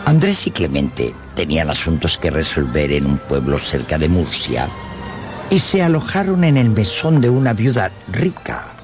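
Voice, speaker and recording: A man's voice: low-pitched, wildly swooping pitch, normal speed. A man narrating a radio drama as a theatrical storyteller who addresses his listeners conversationally. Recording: music under the voice.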